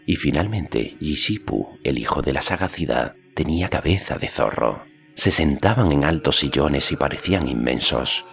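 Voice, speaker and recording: A man's voice: extremely low pitch, lively intonation, moderate pace, gravelly, fairly bright tone. A man reading a fantasy story as an audiobook narrator, in a scripted narration style. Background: faint music.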